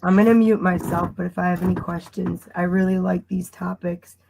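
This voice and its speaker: A woman calls into a livestream while losing her voice, so her words come out gravelly and flat. She is polite, a little hesitant and eager to join in. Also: on the low side, a little fast, noisy background. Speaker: caller joining a stream.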